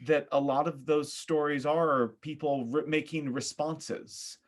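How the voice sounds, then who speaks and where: Thoughtful, halting man in conversation. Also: fairly high pitch, fairly even intonation. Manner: thoughtful, halting, groping for words. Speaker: podcast guest. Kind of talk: casual reflective conversation.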